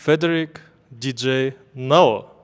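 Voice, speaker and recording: Male podcast host, mid-pitched voice, upbeat intro, long hall reverb. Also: slow, slight background noise.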